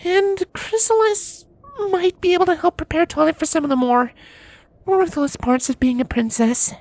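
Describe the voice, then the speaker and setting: A very high-pitched young pony princess, as a woman narrator puts her on, reluctant and remorseful. She speaks in a hesitant, grudging, defensive way, as a character in a storybook reading. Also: moderate pace, darkish tone, no room sound. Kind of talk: fan fiction storybook reading.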